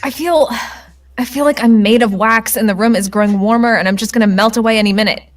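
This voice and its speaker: A woman giving animated, joking, sweary commentary on a game with friends. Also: on the high side, fast.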